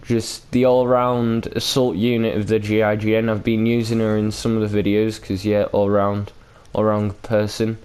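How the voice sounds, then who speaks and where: A man's voice: lowish voice, little pitch movement, normal speed, hardly any pauses, a little mellow, clear, resonant tone. A man hosting a gaming video with casual, unscripted commentary, rambling and hesitant with loose, sweary language.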